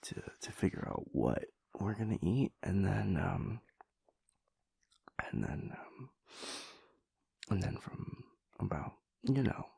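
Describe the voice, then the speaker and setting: A man's voice: deep voice, very animated, slow pace, gravelly, pauses often, mellow, husky, dry recording, clean studio sound, exaggerated voice. A man playing a sleepy, playful boyfriend in an intimate audio roleplay, stammering and hesitant, with mock-serious rambling. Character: sleepy, playful, chaotic boyfriend refusing to get up.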